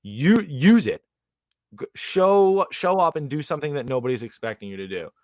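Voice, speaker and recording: A man co-hosting a podcast, breaking down fight betting lines in an animated, slangy way. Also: fairly high pitch, moderate pace, no echo. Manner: animated, slangy, calls people dog. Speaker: podcast co-host, betting analyst. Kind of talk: casual sports betting chat.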